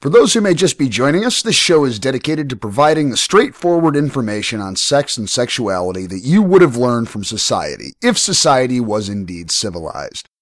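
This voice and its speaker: A man's voice: mid-range voice, no room sound, clean studio sound. An American man hosting an advice podcast, reading out listener mail and answering bluntly with casual profanity, while sick from pneumonia. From United States. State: ill, recovering from pneumonia. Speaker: podcast host.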